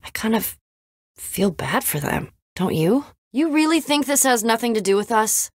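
Young adventurer girl from a video game, guarded but sensitive, halting emotional talk, very dry close sound. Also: on the high side, very animated, no echo. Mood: earnest, sad. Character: guarded young woman adventurer, sensitive, fears being abandoned.

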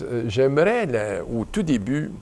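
A man in his seventies with a Canadian French accent, a retiring bishop being interviewed, who talks in a relaxed, reflective way with many fillers and restarts. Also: medium pitch, normal speed. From Ottawa, Canada. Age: 70s. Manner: reflective and rambling, many fillers and restarts, gestures while talking. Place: small bright indoor studio at a table.

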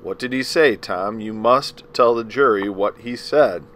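A man voicing a calm, courteous defense lawyer. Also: lowish voice, a little hiss or hum. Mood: probing. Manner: measured, gentle questioning.

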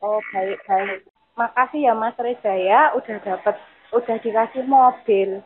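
A woman's voice: a little high, unhurried, lightly acted. A woman playing a polite, grateful mother asking questions in a prank phone call. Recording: dull, noisy phone line.

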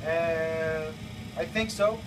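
A man's voice: on the high side, very slow, noisy background. Rambling man on a distant camera mic. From Norway. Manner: chatty, rambling, many fillers and restarts. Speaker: flight simulator builder. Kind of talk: casual video request. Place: home-built airliner cockpit simulator, indoors. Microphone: camera held by his son a few feet away.

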